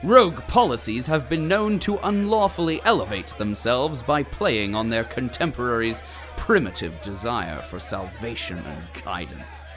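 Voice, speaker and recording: A grandiose corporate announcer, played by a man narrates an audio drama like propaganda. His unctuous mid-pitched delivery rises and falls dramatically over music and crowd sounds. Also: close to an everyday voice.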